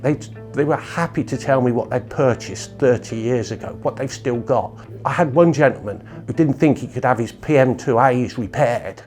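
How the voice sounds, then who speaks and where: A man's voice: medium pitch, darkish tone. An older British man speaking earnestly and emphatically in a promotional interview as a company owner. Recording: music behind the voice. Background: faint music.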